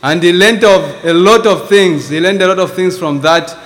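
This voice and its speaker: A man's voice: high-pitched, moderate pace, fairly bright tone, big-room sound. A man from Zambia with a Zambian accent, preaching a sermon in church and reading scripture earnestly. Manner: earnest, reads scripture with rising emphasis. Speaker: visiting preacher.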